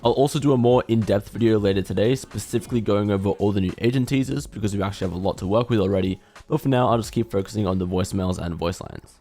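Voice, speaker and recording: A man's voice: fairly low pitch, fast talker, hardly any pauses, mellow. A man delivering scripted video commentary as a gaming lore commentator.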